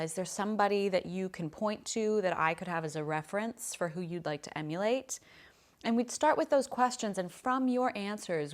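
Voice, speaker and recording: A woman voice coach explaining a question in a friendly, thoughtful and plain-spoken way. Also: mid-range voice. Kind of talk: casual explanatory lesson to camera.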